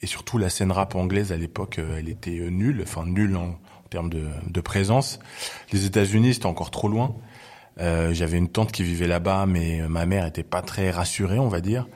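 A man in his forties, raised in Belgium, talking as a guest in a relaxed interview, often pausing with fillers as he recalls the past. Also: deep voice. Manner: relaxed, lots of hesitations. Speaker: guest, hip-hop journalist. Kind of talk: casual interview chat.

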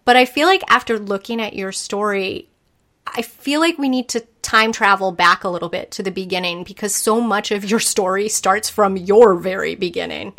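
A woman's voice: medium pitch, normal speed, fairly bright tone. A woman hosting a podcast, introducing her guest and chatting with her in an enthusiastic, eager way. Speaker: podcast host. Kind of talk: casual interview chat.

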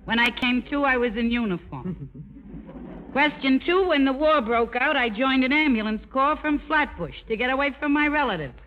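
An actress playing a brash, slangy, wisecracking nurse in a 1950s radio drama. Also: female voice, high voice, expressive, moderate pace, a little hiss or hum. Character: brassy, wisecracking tough-hearted Brooklyn nurse. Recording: dull vintage radio broadcast.

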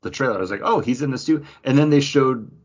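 A man's voice: mid-range voice, fast, slightly rough. A man co-hosting a podcast in casual, conversational pop-culture chat. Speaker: podcast co-host. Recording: dull lo-fi podcast audio.

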